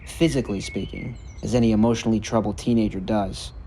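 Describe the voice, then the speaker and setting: A man reading a scripted story narration in a measured, earnest way. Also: fairly low pitch, steady tone, noisy background. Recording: music bed under the narration. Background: background music.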